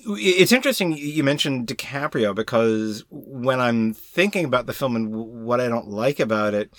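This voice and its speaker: A man in casual conversation about films, with a very lively, swinging pitch. He feels his way through opinions haltingly, restarting phrases as he goes. The sound is close and dry. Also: dry recording. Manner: halting, mulling, with repeated false starts. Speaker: podcast co-host. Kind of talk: casual film discussion.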